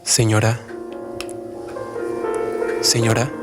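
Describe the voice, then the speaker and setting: A man's voice: medium pitch, level tone, extremely slow. An actor playing Felipe, a polite and hesitant young man in a radio drama, with music behind him. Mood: cautious calling.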